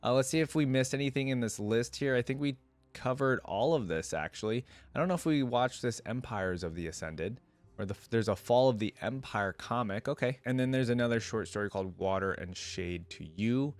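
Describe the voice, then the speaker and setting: A man hosting a reaction video, casual and informal, reading aloud and thinking out loud with asides. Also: mid-range voice, brisk, dry recording.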